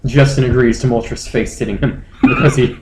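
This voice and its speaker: A man's voice: medium pitch, normal speed, echoey room, background noise. A man giving a comedic, mock-serious dramatic reading of a story as a podcast narrator, sounding amused.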